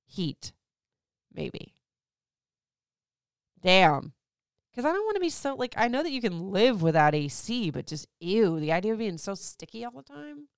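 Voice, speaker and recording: A woman's voice: medium pitch, very animated, unhurried, gravelly, pauses often, smooth, no room sound, clean studio sound. A woman in her thirties from Florida, chatting candidly as a host answering listener questions, rambling with lots of "like"s. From Florida, USA. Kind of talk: casual chat.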